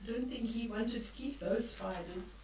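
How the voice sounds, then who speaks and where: An older woman reading a bedtime story aloud to young children, expressively, with drawn-out emphasis and questions for the listeners. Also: on the high side, a little mellow, a little hiss or hum. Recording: echoey room, distant from the mic.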